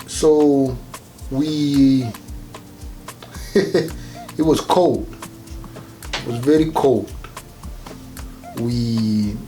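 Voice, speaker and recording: A man with a Rwandan accent tells his story in a casual interview. His voice is mellow and relaxed, with a creaky, gravelly edge, and faint music plays behind him. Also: mid-range voice. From Rwanda, grew up partly in Kenya. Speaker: interview guest.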